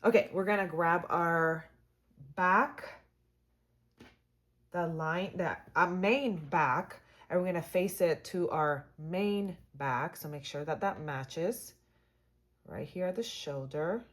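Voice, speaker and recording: Hoarse, chatty woman sewing tutor. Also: lowish voice, slow pace. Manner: chatty, upbeat, lots of fillers. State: hoarse, voice cutting in and out. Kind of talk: casual how-to demonstration.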